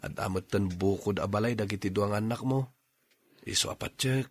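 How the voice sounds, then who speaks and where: Low, husky Ilocano suitor played by a man. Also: normal speed, no room sound. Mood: coaxing. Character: earnest male suitor courting a middle-aged woman. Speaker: radio drama host and actor. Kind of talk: Ilocano radio drama acting.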